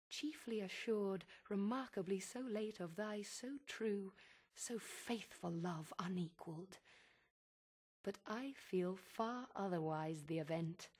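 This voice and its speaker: An actress playing a rapturous, devoted, persuasive wife in a verse epic, with impassioned, measured delivery. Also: female voice, mid-range voice, a little mellow, husky. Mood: earnest devotion.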